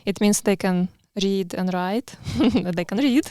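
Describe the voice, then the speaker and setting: A woman with a Ukrainian accent co-hosting a podcast, talking in a lively, quick way. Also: mid-range voice, no echo, clean studio sound. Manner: lively, quick, laughing easily. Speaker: podcast co-host. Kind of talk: casual podcast chat.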